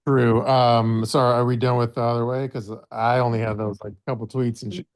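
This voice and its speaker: A man's voice: mid-range voice, little pitch movement, brisk, darkish tone. A man co-hosting a casual podcast, joking crudely and losing his train of thought. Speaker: podcast co-host. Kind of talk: casual chat.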